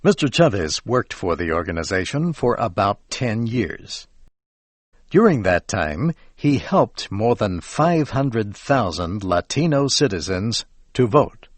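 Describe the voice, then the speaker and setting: An American man reading scripted educational narration for English learners in a measured, carefully enunciated way. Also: fairly low pitch, big pitch swings, no echo.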